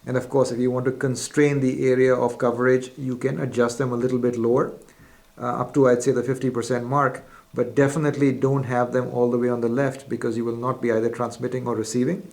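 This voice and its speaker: A man's voice: mid-range voice, flat delivery, fairly quick, creaky, a little mellow. A man presenting a step-by-step instructional demonstration in a methodical, formal, explanatory way. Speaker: product demonstrator.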